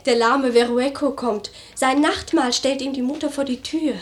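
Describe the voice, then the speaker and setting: A woman's voice: high voice, steady tone, moderate pace, lightly acted. A woman playing a young, homesick, lovesick maidservant in a radio play, wistful and plaintive. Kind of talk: theatrical German radio play acting.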